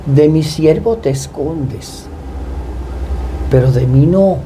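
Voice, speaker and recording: A man with a Puerto Rican Spanish accent, a pastor speaking as a guest in a devout, deliberate testimony style. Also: a little high, mellow, slight background noise, poor audio. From Puerto Rico. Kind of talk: personal testimony and prayer.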